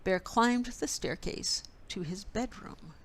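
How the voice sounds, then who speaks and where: A woman storyteller reading a children's picture book expressively, with deliberate, dramatic phrasing. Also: mid-range voice, lively intonation, husky.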